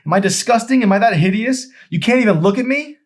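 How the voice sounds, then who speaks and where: A man's voice: high voice, fast talker, voice pushed up. A man voicing a hurt, emotional young girl in an audiobook, with an emphatic, pleading manner. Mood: upset, pleading.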